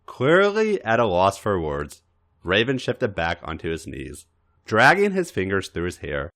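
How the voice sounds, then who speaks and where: Male podcast narrator for erotic fan fiction: lowish pitch, hugely varied intonation, music bed. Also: wildly swooping pitch, measured pace, fairly bright tone. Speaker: podcast host and story reader.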